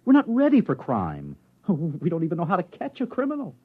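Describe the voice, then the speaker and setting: A man's voice: a little high, huge pitch swings, fairly quick. An actor playing an earnest, rule-bound married man of a future society in a 1950s radio drama, formal and easily flustered. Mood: agitated, earnest. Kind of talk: 1950s radio drama acting with first-person narration. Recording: dull lo-fi vintage radio recording.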